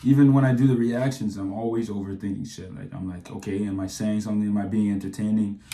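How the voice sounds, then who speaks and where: A man's voice: on the low side, fairly even intonation, quick pace, warm tone, echoey room, a little hiss or hum. A man hosting a reaction video, chatting in a slangy, laid-back and easygoing way. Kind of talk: casual reaction commentary.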